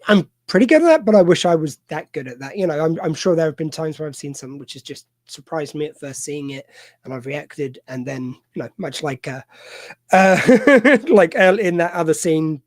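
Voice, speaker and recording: Rambling man with a fairly high voice. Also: expressive, dry recording. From United Kingdom. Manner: rambling, halting, many fillers and restarts. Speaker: podcast host. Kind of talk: casual film discussion. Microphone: video call mic.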